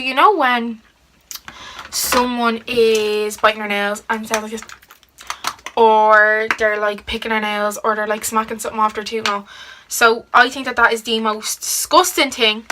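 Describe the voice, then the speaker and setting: A woman's voice: fairly high pitch, moderate pace, noisy background. A teenage girl, chatting casually through a get-ready-with-me video and answering questions about herself. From Ireland. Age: teens. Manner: chatty, giggly, lots of "like" fillers. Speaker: beauty vlogger.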